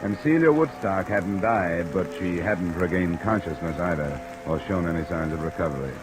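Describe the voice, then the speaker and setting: Man playing low-voiced hard-boiled American radio detective. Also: moderate pace, lightly acted. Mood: brooding, reflective. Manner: terse, dry, probing. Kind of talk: 1950s radio detective acting, first-person narration.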